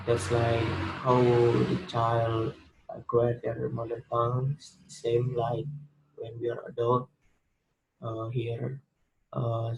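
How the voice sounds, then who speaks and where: A man's voice: mid-range voice, low-quality recording. A young man with an Indonesian accent, a student giving a spoken class presentation, hesitant, with many fillers and restarts. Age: young adult.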